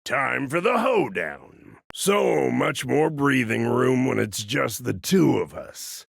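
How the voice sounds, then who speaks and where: A man voicing a gruff, cocky, aging cyborg fighter in a video game, a folksy wisecracking old-timer with a gravelly rasp and a drawling delivery. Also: medium pitch, big pitch swings, takes it slowly, no echo, studio quality, full character voice. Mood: wry, folksy. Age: older. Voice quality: gravelly, raspy. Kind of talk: video game character voice lines.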